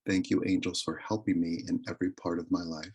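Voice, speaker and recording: A man leading a guided meditation with prayer and affirmations, speaking in a soothing, deliberate, reverent way. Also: low voice, little pitch movement, darkish tone, slightly rough. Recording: live recording.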